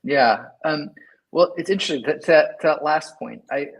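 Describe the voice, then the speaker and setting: A man's voice: fairly high pitch, normal speed, vocal fry, slightly rough, rough recording. A middle-aged man from California, a guest in an interview, talking loosely and thinking aloud with many "like" and "right?" asides. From Sacramento, California. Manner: rambling, lots of "like" and "right?". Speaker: engineering leader, guest. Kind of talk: casual interview talk.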